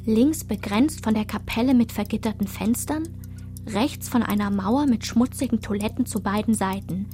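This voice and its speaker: A woman's voice: on the high side, normal speed, warm tone, close to an everyday voice. A woman reading scripted literary narration as the narrator of a radio play. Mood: measured. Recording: music behind the voice.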